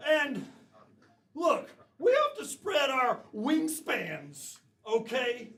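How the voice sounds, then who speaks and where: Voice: high voice, huge pitch swings, drawn-out words, a bit bright, roomy sound, recorded from a distance. An improv comedy player rambling hesitantly through a scene on a live stage, with lots of fillers and restarts.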